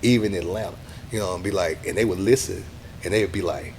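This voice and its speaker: A middle-aged man, a radio DJ talking casually in an interview, animated and acting out what other people say. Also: low voice, fast talker, slight background noise. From Texas, USA. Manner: animated, acts out quoted people, says "you know what I'm saying" a lot.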